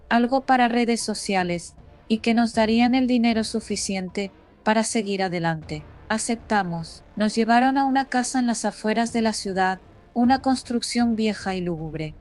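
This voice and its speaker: A woman's voice: fairly high pitch, a little mellow. Woman narrating horror stories over music. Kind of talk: scripted first-person horror story narration.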